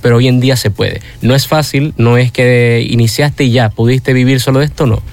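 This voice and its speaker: A man's voice: medium pitch, steady tone, fairly quick, vocal fry, darkish tone. A young Venezuelan man with a Venezuelan Spanish accent, a freestyle rapper, answering interview questions in an earnest, explanatory way. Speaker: freestyle rapper and workshop teacher.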